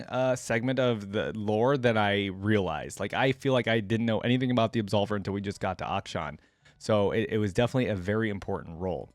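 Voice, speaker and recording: A man's voice: fairly low pitch, dry recording. A man hosting a reaction video, reading aloud and adding informal, think-aloud commentary. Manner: informal, thinking aloud between read passages.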